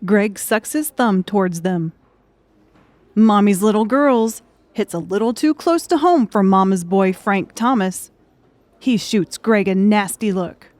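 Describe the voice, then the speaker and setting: A woman's voice: medium pitch, a little slow, a little mellow, no room sound. A woman reading scripted fiction narration as an audiobook narrator.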